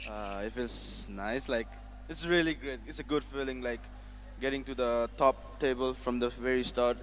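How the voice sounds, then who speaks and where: A man's voice: medium pitch, steady tone, normal speed, slight background noise. A young man whose first language is Nepali, hesitantly answering interview questions in English. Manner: hesitant, filler-heavy. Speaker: esports player. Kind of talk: post-match interview answers.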